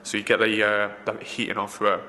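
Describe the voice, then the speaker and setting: A man's voice: fairly low pitch, monotone, a little fast, pushed and bright. A man, a film location assistant, giving rambling interview answers full of fillers and restarts. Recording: reverberant room, faint background noise.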